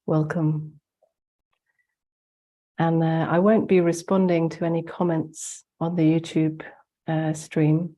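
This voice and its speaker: A soft-spoken woman leads a guided meditation over a video call in a low, mellow voice. Her nose sounds blocked up as she gets over the flu. She speaks very slowly with hardly any rise or fall. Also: level tone. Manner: slow, soft-spoken, gently guiding. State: congested, getting over the flu. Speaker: spiritual teacher hosting a webinar.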